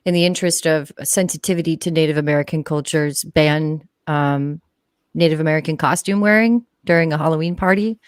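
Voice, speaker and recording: A woman's voice: deep voice, fairly quick, clear, resonant tone, no echo, studio quality. A woman chatting casually as a live-stream commentator, blunt and sardonic, swearing freely.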